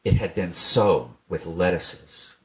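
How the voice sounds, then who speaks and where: A man reading a classic children's storybook aloud in a gentle, measured storytelling manner. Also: sits low, animated, poor audio. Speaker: reader.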